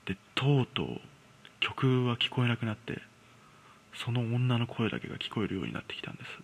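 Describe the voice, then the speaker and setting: A man's voice: mid-range voice, animated, a little fast, creaky. A man casually telling a ghost story in a conversational, hesitant way, acting out people's reactions as he goes. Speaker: storyteller host.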